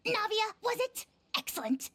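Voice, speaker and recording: A woman's voice: very high-pitched, sing-song, normal speed, fairly bright tone, exaggerated voice. A woman voicing a tiny boastful dragon lord in a video game, pompous and condescending. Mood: haughty approval. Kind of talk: video game character acting.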